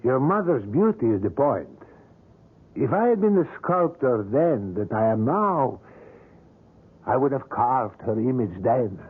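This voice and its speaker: An actor playing an obsessive older male sculptor in a vintage radio drama, speaking in a smooth, courtly, persuasive way. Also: mid-range voice, very animated, unhurried. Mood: courtly, insistent. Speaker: sculptor character. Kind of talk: 1970s radio drama acting. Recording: tinny lo-fi old radio broadcast.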